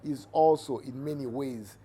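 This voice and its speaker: A middle-aged man with a Haitian accent giving reflective interview answers as a Vodou priest, measured and halting as he searches for words. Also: mid-range voice, warm tone, slightly rough. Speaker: Vodou priest and cultural entrepreneur. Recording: noticeable background ambient noise.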